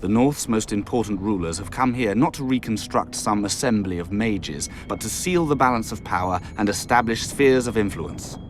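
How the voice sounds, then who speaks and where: A man's voice: on the low side, normal speed, few pauses, slight background noise, lightly acted. An actor playing a proud, bitter nobleman of royal blood in a video game, formal and sardonic in manner. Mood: grave, haughty. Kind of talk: video game cutscene dialogue.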